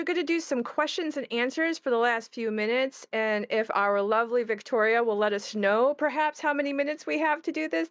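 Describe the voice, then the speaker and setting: A woman's voice: fairly high pitch, no echo, clean studio sound. A woman who is a game creator, hosting a casual question-and-answer chat.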